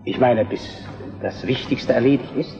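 A man's voice: medium pitch, normal speed, mellow, soft background music, lightly acted. A man acting a determined Prussian statesman in a historical radio drama, formal and deferential yet firm. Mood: urgent, courteous. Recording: dull reverberant radio play recording.

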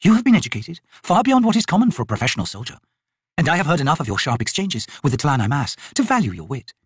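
Grand, flirtatious fantasy sorceress as a male narrator plays her, imperious and lilting, high, very animated delivery. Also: fast, slightly rough, no room sound, studio quality, heavily acted. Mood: probing. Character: haughty, teasing noble sorceress, female, flirtatious and grand.

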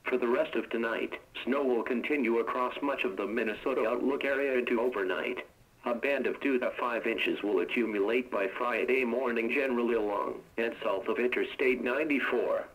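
A male text-to-speech announcer reads weather forecasts in a level, unhurried monotone. His pitch sits squarely in the ordinary male range, and each phrase comes out clipped and evenly paced, like an automated broadcast. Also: lo-fi sound. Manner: steady, even, monotone delivery.

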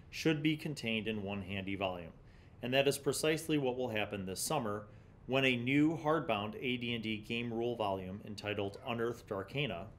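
A middle-aged man with a fairly low voice presents a review at a desk microphone. He moves between reading aloud and explaining, in a deliberate, considered way. A faint rumble sits behind him in the small studio. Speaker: host of a tabletop gaming review series. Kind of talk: review commentary mixed with reading aloud from a magazine. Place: small indoor studio with block wall. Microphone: large condenser mic on desk. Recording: low rumbling background noise.

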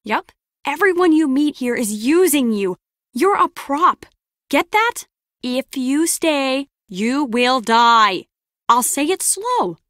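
High-voiced, brusque woman spy. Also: very animated, measured pace, a bit bright, dry recording, clean studio sound, heavily acted. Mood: cold, threatening. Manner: curt, biting, sardonic delivery. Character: brusque, sarcastic female lone-wolf spy, guarded and bossy. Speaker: video game voice actress. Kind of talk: scripted game character dialogue.

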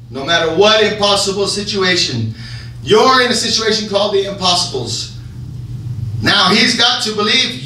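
Fervent male preacher, high bright voice, distant and echoey church sound. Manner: fervent, often shouting, with call-and-response. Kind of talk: sermon. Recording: echoey church room, from far off, faint hum.

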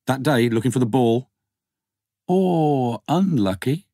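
A man's voice: medium pitch, lively intonation, slow, dark tone, no room sound, clean studio sound, voice pushed up. A man playing a wry, smitten, insistent male lover in an audiobook, with an earnest edge. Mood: tender.

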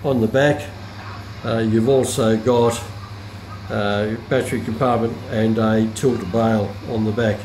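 A man giving an informal, unscripted product demonstration as a hobbyist reviewer, often pausing mid-sentence as he explains features. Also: fairly low pitch, fairly even intonation, darkish tone. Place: indoor room with hard countertop. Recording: roomy echo, clear background noise.

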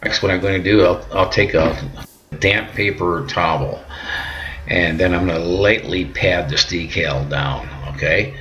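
A middle-aged man giving calm, patient step-by-step instructions at home, often repeating words and checking in with "okay". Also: sits low, measured pace, highs cut off. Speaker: instructor demonstrating decal application. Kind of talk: step-by-step how-to narration. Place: home kitchen and workbench indoors.

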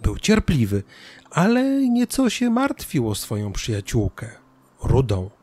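A man narrating a scripted children's story as an expressive storyteller. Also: medium pitch, a little slow.

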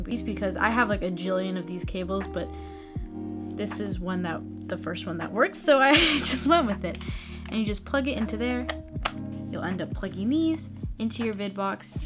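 A young American woman explaining things in a casual, chatty vlog style, recorded indoors in a small room. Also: mid-range voice. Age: young adult. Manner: chatty, informal. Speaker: video tutorial presenter. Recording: music playing behind the voice. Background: plucked guitar music.

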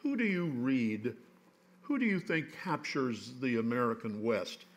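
An older man with a mid-range voice leads a stage conversation. He poses measured, searching questions, pausing and restarting as he shapes them, speaking from an indoor stage before a seated audience. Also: mid-range voice, big pitch swings. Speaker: interviewer. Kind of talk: onstage conversation.

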